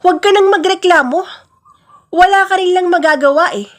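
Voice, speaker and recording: A woman's voice: very high-pitched, moderate pace, voice pushed up. A woman voicing a stern, domineering father and head of the family in a radio-style drama, commanding and insistent. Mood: angry, commanding.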